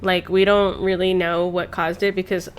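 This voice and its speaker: A woman's voice: fairly low pitch, little pitch movement, moderate pace, a little hiss or hum. A woman in her thirties chatting casually and telling personal stories in an informal, rambling, sweary way, like a streamer. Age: 30s. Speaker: streamer hosting a chat stream. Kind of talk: casual chat, personal storytelling. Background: background music.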